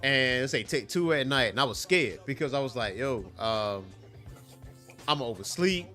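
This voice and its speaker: A man's voice: medium pitch, animated, moderate pace, bright, forceful tone, soft background music. A man co-hosting a casual wrestling and comics talk show, laid-back and rambling, with a stuffy nose from allergies. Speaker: podcast co-host. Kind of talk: casual chat.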